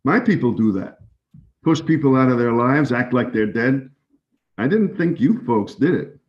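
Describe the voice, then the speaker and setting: An actor playing a halting, evasive man in his forties in a dramatic 1930s scene. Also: mid-range voice, animated, a little mellow, natural voice. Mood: conciliatory. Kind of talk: dramatic two-person scene reading. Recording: dull lo-fi audio.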